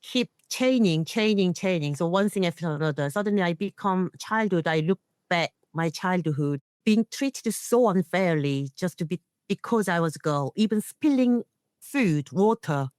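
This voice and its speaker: A woman's voice: lowish voice, lively intonation, moderate pace, darkish tone, clear, resonant tone, dry recording. A middle-aged woman, an English speaker, giving an earnest personal account as an interview guest. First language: not English. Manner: earnest, non-native phrasing. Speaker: guest, internationally trained nurse and leader.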